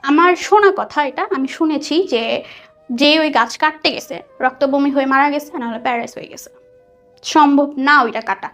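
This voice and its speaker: A woman with a Bangladeshi accent telling a personal story conversationally, animated and dropping in English words. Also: high voice, normal speed. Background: soft background music.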